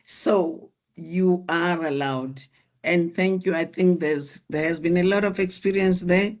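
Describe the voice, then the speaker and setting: An unhurried course facilitator leads an online class and gives encouraging feedback. The speech moves slowly and deliberately, at a middle pitch, through a video-call microphone. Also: fairly even intonation.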